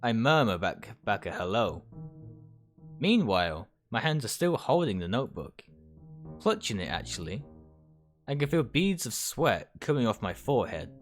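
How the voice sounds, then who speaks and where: Man reading aloud with lively pitch, piano behind. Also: normal speed. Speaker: video game streamer. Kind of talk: reading visual novel text aloud on a livestream.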